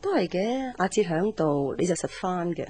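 A woman's voice: fairly low pitch, very animated, moderate pace, dark tone, slightly rough. A woman playing a casual, caring middle-aged mother in a radio drama, speaking offhand, colloquial Hong Kong Cantonese. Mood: resigned, caring.

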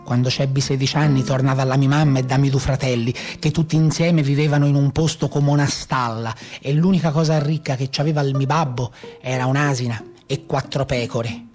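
A man's voice: mid-range voice, fairly even intonation, fairly quick, few pauses, a little mellow, soft background music, close to an everyday voice. An actor playing a rustic bandit with a Tuscan accent, telling his hard life in a plain, folksy theatrical monologue. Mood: earnest recollection. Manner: plain-spoken, folksy storytelling. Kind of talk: theatrical monologue in a radio play.

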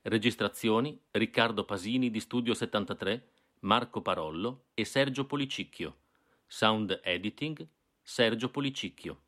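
Low-pitched male radio announcer. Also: measured pace, a bit bright. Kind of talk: scripted radio credits read-out.

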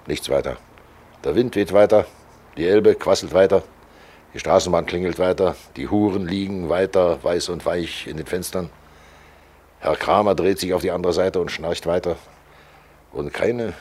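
An actor playing Death as a fat, cynical undertaker with a sardonic, matter-of-fact manner, in a 1940s German radio play. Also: male voice, low-pitched, darkish tone, slightly rough. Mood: dry, indifferent. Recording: old 1940s radio recording.

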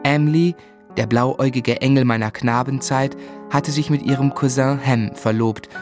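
A man's voice: mid-range voice, fairly even intonation, moderate pace, music in the background, natural voice. Guy acting earnest young man telling his life story, radio drama, proper literary wording, mid voice. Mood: reflective. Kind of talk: German radio drama, literary narration and dialogue.

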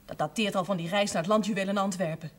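An actress playing a defiant, principled grown daughter in a television drama, reproachful, indignant and outspoken. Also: female voice, medium pitch, fairly even intonation, fast talker, close to an everyday voice. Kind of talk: naturalistic television drama acting.

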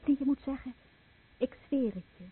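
An actress playing a devoted fisherman's wife in a radio play, husky, solemn and tender. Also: high-pitched, normal speed. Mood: solemn, exacting. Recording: phone-like old radio recording.

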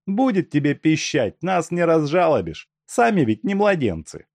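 A man's voice: on the high side, sing-song, normal speed, darkish tone, dry recording, pitched above the performer's own voice. A man reading an audiobook and voicing a fierce young woman thief who scolds and gives orders sharply. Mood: scornful. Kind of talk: audiobook character voice.

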